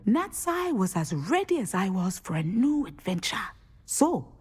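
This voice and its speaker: An actress playing a wise, dignified Ugandan divination professor, formal and deliberate with dry amusement, in game dialogue. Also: female voice, medium pitch, very animated, a little mellow, slightly rough. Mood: dignified, gently amused.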